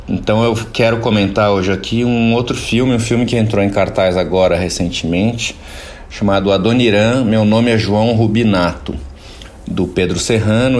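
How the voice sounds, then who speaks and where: A man with a Brazilian accent giving reflective, explanatory cultural commentary as a radio columnist, often checking in with little tag questions. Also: sits low.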